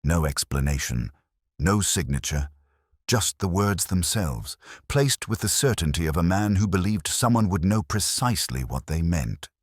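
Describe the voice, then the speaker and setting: A man acts out a brooding police inspector with an extremely deep voice that rises and falls expressively. He narrates in deliberate, literary phrases, weary and watchful, as in a detective radio play. The sound is dry, with no room around it. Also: big pitch swings, slightly rough, dry recording, heavily acted. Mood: quietly suspicious. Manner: measured, contemplative, deliberate phrasing.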